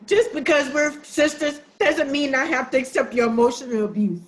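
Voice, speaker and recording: A woman's voice: high voice, normal speed, close to an everyday voice, low-quality recording. A woman acting a hurt, defiant, sassy sister who makes emphatic accusations in a dramatic play reading. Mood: bitter, resolute. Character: wounded, defiant younger-minded sister confronting her sibling.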